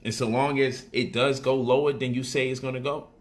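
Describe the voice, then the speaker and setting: A man's voice: mid-range voice, flat delivery, brisk. A man casually explaining stock options on a livestream, repeating phrases for emphasis and switching into loose street slang.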